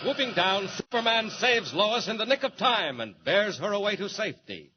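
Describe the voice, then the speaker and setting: A male announcer narrating a 1940s radio serial with dramatic, booming cliffhanger delivery. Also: big pitch swings, normal speed, highs cut off, a little hiss or hum.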